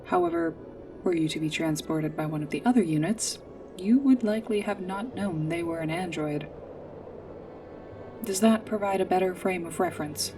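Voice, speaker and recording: A woman playing a polite, earnest android guide in a sci-fi ASMR roleplay, speaking in formal, precise, literal phrases with hesitant pauses. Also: fairly low pitch, recorded from a distance, noisy background, lightly acted. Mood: polite, measured. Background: music.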